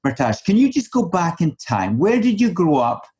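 A man's voice: on the high side, big pitch swings, moderate pace, a little mellow, slightly rough. Canadian doctor hosting his podcast, chatty easygoing questions. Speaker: podcast host, physician. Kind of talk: podcast interviewing.

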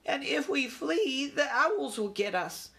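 A man's voice: high-pitched, huge pitch swings, slow, fairly bright tone, voice pushed up, full character voice. A man voicing an agitated mother mouse in a storybook, flustered and prone to stammering. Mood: alarmed, fretful.

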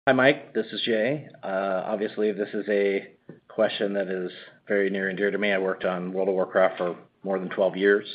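A man's voice: low voice, fairly even intonation, moderate pace, slightly rough. A man answering as a company executive on an earnings call in a conversational way, often padding with "you know". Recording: slightly dull conference call audio.

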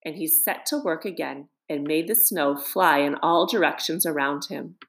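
A woman reading a children's story as scripted narration, in an expressive manner. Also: deep voice, moderate pace, creaky. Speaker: storybook reader.

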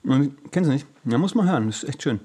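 A man's voice: medium pitch, animated, fast, dark tone, slightly rough. A man co-hosting a podcast, chatting casually in a loose, slangy way with trailing asides. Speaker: podcast co-host. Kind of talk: casual chat.

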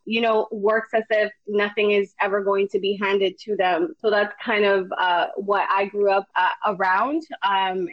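A woman from El Salvador talks as a panel guest, laughing often and filling pauses with ums. Her voice comes through muffled and dry, like a call recorded online. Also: medium pitch, dry recording. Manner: warm, laughing, hesitant with many ums. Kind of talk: casual panel discussion.

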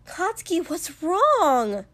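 An anxious young woman as a narrator puts her on in an audiobook. She is emotional and concerned, with a pleading, drawn-out delivery. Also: on the high side, big pitch swings, full character voice. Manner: whining, pleading, sometimes hushed.

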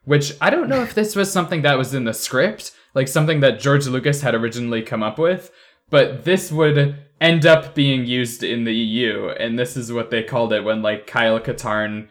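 Medium-pitched man explaining things casually. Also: moderate pace. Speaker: podcast panelist. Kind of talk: casual, explanatory fan chat.

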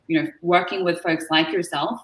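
A woman's voice: lowish voice, steady tone, moderate pace, rough recording. A woman with an Australian accent, chatting as an upbeat guest on an interview show, with lots of fillers. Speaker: guest, partner manager. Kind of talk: casual interview chat.

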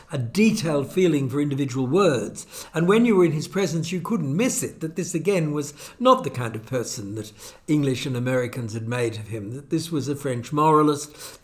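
An older man, a guest philosopher holding forth in a wry, expansive academic conversation. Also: on the high side.

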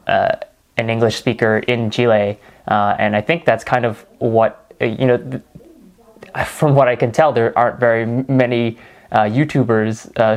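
A man hosting an interview, asking long, halting questions full of fillers and restarts. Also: medium pitch, a little mellow. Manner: hesitant, many fillers and restarts. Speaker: interview host.

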